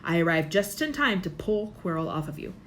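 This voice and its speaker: A woman voicing a kindly old male headmaster in a storybook reading, gentle, measured and formal. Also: lowish voice, sing-song, slight background noise.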